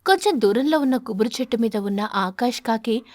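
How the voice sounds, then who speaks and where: A woman storyteller reading scripted narration for an animated children's fable. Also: on the high side, a little fast, no echo.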